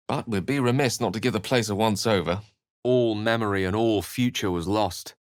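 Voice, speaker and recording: A low-pitched, bookish wizard in a fantasy video game. He is wry and courteous, choosing ornate words with theatrical care. His intonation rises and falls widely, and the recording is dry and close. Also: no echo. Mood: wary. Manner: articulate, ornate, dramatic phrasing. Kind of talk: theatrical fantasy game dialogue and storybook-like narration.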